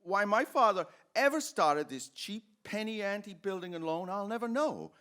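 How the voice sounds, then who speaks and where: A man's voice: high voice, lively intonation, moderate pace, bright, forceful tone, smooth. An actor playing an earnest, frustrated small-town young man in a radio drama, with a hesitant, stammering drawl. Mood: indignant. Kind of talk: old-time radio drama acting.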